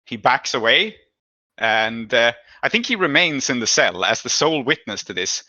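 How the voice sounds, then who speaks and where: Male game master: conversational, thoughtful improvised narration, forward bright voice, dry and slightly dull recording. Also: mid-range voice, big pitch swings, moderate pace, dry recording.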